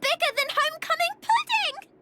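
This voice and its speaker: A woman's voice: very high-pitched, animated, normal speed, heavy background noise. A woman voicing a cute little food-loving female creature that talks in childlike broken grammar in a video game. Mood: amazed. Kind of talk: video game cutscene acting.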